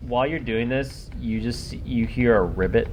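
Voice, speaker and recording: A man improvising narration as game master of a tabletop game, in an informal, halting, conversational way. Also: mid-range voice, a little mellow. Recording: noisy room ambience.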